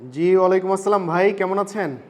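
A high-voiced man with a Bangladeshi accent speaks in a casual vlog style. He is earnest and polite, and he drops English words into his talk like a consultant advising people. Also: fairly even intonation, brisk. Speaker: vlogger and visa consultant.

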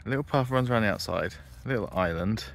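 Man, lowish voice, casual outdoor vlog, thinking aloud, faint background noise. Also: fast talker, creaky. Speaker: vlogger. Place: outdoors on a farm by a ditch and fields.

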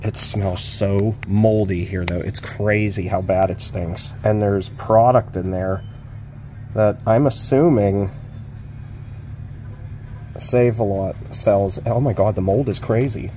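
A man's voice: on the low side, steady tone, slow, mellow, soft background music. A man walking around an abandoned store outdoors, filming on a handheld phone and commenting casually as he goes, rambling and thinking aloud with fillers. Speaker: urban exploring vlogger. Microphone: handheld phone camera.

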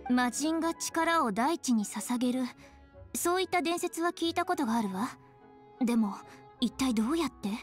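A woman's voice: high-pitched, expressive, moderate pace, faint music behind. A woman playing a composed, inquisitive, articulate young woman in an anime-style Japanese video game. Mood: thoughtful, questioning.

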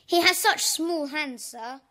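A woman's voice: high voice, takes it slowly, bright, forceful tone, heavily acted. A woman playing a polite, earnest young British boy in a children's audio drama. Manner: polite, eager.

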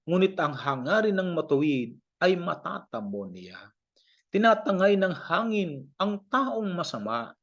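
A man with a Filipino accent reading scripture aloud in a measured, declamatory recitation, verse by verse. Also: fairly high pitch. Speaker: Bible reader. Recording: dull lo-fi home recording.

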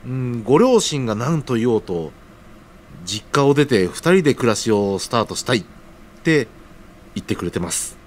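A man's voice: medium pitch, very animated, normal speed, a little hiss or hum, natural voice. A man acting an earnest, humble young junior worker in an audio drama, speaking casually but deferentially. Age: young adult.

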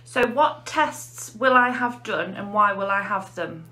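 A woman's voice: mid-range voice, measured pace, pushed and bright. An English woman, a nurse specialist, interviewing a colleague with plain scripted questions.

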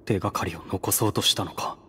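A man's voice: low voice, fairly even intonation, a little fast, a bit bright, long reverb tail, faint music behind. An actor playing a hesitant, feeling man of a theatre troupe in an anime game. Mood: moved. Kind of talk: Japanese anime game voice acting.

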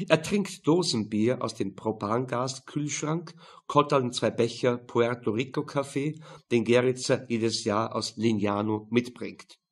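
A man's voice: medium pitch, husky. A man narrating a crime novel audiobook in a dry, matter-of-fact manner.

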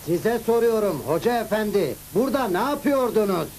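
A man's voice: high voice, animated, moderate pace, darkish tone, low-quality recording. An actor playing a stern, indignant district governor in a cartoon, formal and commanding as he questions people. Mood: stern, questioning. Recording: hissy old cartoon soundtrack.

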